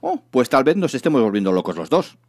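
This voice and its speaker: A man voicing an excitable, breezy male space hitchhiker in a comic science fiction audiobook, airy, offhand and teasing. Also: mid-range voice, fast talker.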